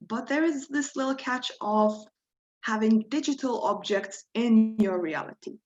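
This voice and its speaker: A woman's voice: a little high, unhurried, a little mellow, lo-fi sound, a little hiss or hum. Woman teaching a live workshop. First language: Croatian. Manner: friendly, explanatory, encouraging, occasional small grammar slips. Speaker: workshop leader. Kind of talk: live online tutorial.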